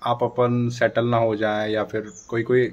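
Animated man vlogging on a dull phone mic. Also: medium pitch, unhurried. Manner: animated, gestures a lot with his hand. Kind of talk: casual advice vlog. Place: dim room indoors by a dark door. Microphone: phone camera at arm's length.

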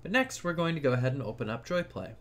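A man's voice: fairly high pitch, sing-song, quick pace, fairly bright tone. A man narrating a casual tech tutorial, explaining things informally step by step.

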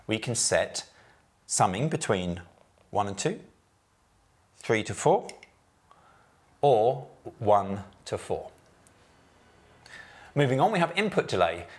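A man in his thirties giving a methodical, scripted walkthrough of a product's menu settings, recorded in an office meeting room on a lapel mic. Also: fairly low pitch. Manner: measured, methodical, technical explanations. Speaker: product presenter.

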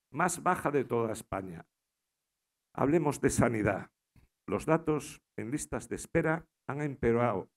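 Gravelly middle-aged man giving a rally speech. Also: mid-range voice. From Galicia. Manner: reads statistics in a listing cadence, occasional restarts. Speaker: political candidate. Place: large indoor hall with seated crowd behind. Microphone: lectern microphone.

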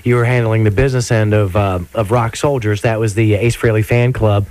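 A man's voice: on the low side, steady tone, a little fast, a little mellow. A male radio host chatting casually and joking with a phone-in guest from a radio studio. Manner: laid-back, joking, fillers and restarts. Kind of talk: casual live radio chat.

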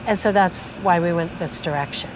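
A woman's voice: fairly low pitch, moderate pace. A middle-aged woman co-hosting a vlog, chatting casually and agreeably at an outdoor marina. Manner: agreeable, chimes in with affirmations. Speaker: vlog co-host. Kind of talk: casual chat. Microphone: fixed camera at a few meters. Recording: outdoor noise behind.

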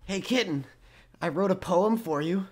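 A man's voice: high-pitched, normal speed, lightly acted. A man playing an earnest, melodramatic, lovesick young man in a comedic roleplay skit. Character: lovesick, clingy young man pining over online girlfriend.

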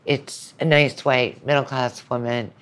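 A woman's voice: very deep voice, fairly even intonation, a little slow, clean tone. A woman lecturer speaking as a podcast guest, explaining things in a conversational way.

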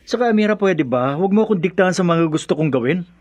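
A philandering vet with a Filipino accent, evasive and dismissive. He talks quickly with big swings in pitch, in radio-drama style. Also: expressive. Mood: irritated, dismissive. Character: evasive, dismissive philandering veterinarian, a live-in boyfriend.

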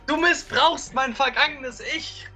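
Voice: sits high, unhurried. A giggly, hesitant live-stream host bantering casually with others. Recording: music behind the voice. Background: music.

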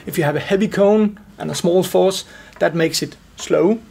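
A man in his forties giving measured technical explanations as an expert in an interview. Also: high-pitched, creaky, mellow, breathy edge. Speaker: expert guest, loudspeaker driver engineer.

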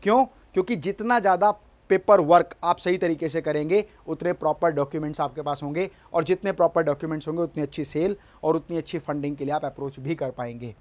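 A man's voice: a little high, fast talker, a little mellow. A middle-aged man giving a motivational business lesson in a studio, speaking emphatically and often asking his audience to agree. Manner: emphatic, gestures at a screen, asks "है ना?". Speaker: business coach. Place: studio in front of a large screen.

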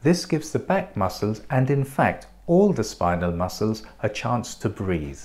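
A man in his forties presenting a clinic's treatment in a measured, explanatory scripted voice, recorded on a lapel mic in a bright treatment room. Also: on the low side, very animated. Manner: measured, explanatory, pointing things out.

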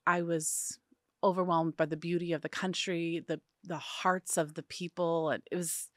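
A woman's voice: fairly low pitch, little pitch movement, moderate pace, no room sound, clean studio sound. A woman with an American accent hosting an interview in a casual, conversational style. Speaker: interview host.

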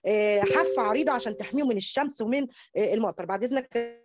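A dull, lo-fi online-call recording of an Egyptian woman presenting academic slides. Her voice sits fairly high and she talks quickly, often stumbling over and repeating small words as she explains. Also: over loud music. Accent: Egyptian Arabic.

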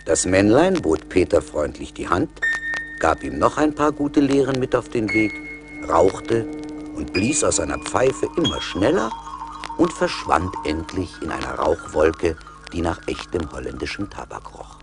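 Casting: male fairy-tale narrator, deep register, lively intonation, radio play. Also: measured pace, music in the background.

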